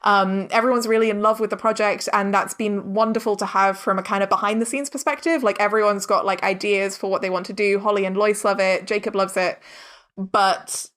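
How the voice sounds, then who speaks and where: A woman's voice: medium pitch, steady tone, brisk, a bit bright. A talkative, informal woman speaking emphatically as a guest in a casual podcast interview. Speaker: interviewed audio drama director and producer.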